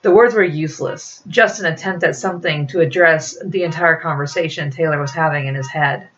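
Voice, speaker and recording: A woman's voice: low-pitched, a little fast, a bit bright, highs cut off, a little hiss or hum. A woman reading aloud from a novel in an audiobook-like storytelling style, as an author presenting the work.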